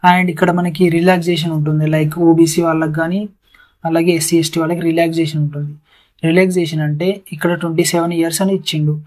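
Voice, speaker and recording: A man's voice: fairly high pitch, a little mellow. A man presenting job updates as an informal explainer, repeating key points and mixing in English terms.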